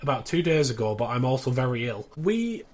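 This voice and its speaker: A man's voice: medium pitch, very animated, brisk. British man, chatty and wry. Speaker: podcast host. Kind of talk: casual recap of a show's plot.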